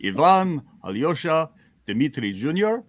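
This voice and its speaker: Man voicing a hearty, animated Soviet superhero. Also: fairly high pitch, measured pace, dry recording. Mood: jovial. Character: cheerful Soviet armored superhero, hearty loyal family man. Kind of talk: comic book dramatic reading, amateur.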